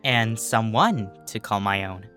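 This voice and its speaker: A man's voice: lowish voice, lively intonation, a little slow, pushed and bright, soft background music. A man voicing a brash, defensive, teasing young female athlete pony with casual, slangy, huffy delivery. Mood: tender.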